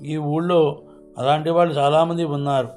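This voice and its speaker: A man's voice: fairly high pitch, little pitch movement, normal speed, darkish tone, faint music behind, lightly acted. A man playing village folk in the scripted dialogue of a storybook moral tale. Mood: shrewd. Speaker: voice of the story's characters.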